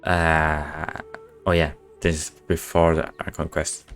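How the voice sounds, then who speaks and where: A man with a low voice comments casually on a game he is streaming. His reactions are short and offhand, with faint music in the background. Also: little pitch movement, slow, a bit bright. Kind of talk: casual live playthrough commentary.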